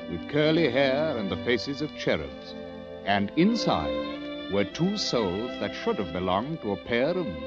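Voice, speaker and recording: A dull-sounding old radio broadcast with music carries an actor as a war correspondent. He narrates army tales in a wry, fond way, his medium-pitched voice rising and falling freely. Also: lightly acted. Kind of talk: 1940s radio drama narration.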